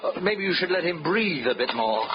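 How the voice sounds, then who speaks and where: A man's voice: on the high side, sing-song, normal speed. A man playing a fussy, genteel, fretting insurance company manager in a 1940s radio drama. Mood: fretful. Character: fussy, fretful male insurance general manager. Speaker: general manager. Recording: dull old radio recording with noise.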